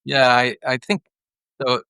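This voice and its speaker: A middle-aged man from Sweden with a Swedish accent, speaking English as a guest in a thoughtful conversation, pausing often and restarting his phrases. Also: mid-range voice, little pitch movement, creaky, bright, forceful tone, clean tone, no echo. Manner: thoughtful, unhurried, with frequent pauses and restarts. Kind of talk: reflective interview conversation.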